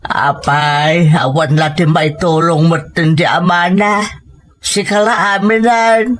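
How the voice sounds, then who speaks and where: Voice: medium pitch, fairly even intonation, slow pace, fairly bright tone. An actor playing a stern older father in an audio drama, grumbling and scolding.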